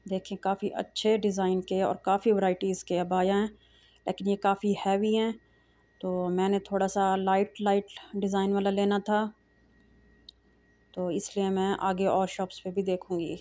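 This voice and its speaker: Soft-spoken middle-aged woman vlogging. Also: medium pitch, normal speed, no echo. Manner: calm, soft-spoken, frequent hesitations and pious phrases. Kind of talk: casual vlog narration. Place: large indoor shopping mall. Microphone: phone camera held while walking.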